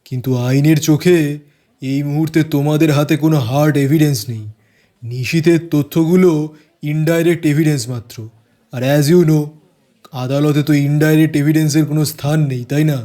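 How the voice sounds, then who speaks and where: An actor playing a shrewd, stern police inspector in an audio drama, measured and authoritative, dropping in English phrases. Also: male voice, a little high, fairly even intonation, mellow, close to an everyday voice. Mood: measured, probing. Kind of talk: audio story acting.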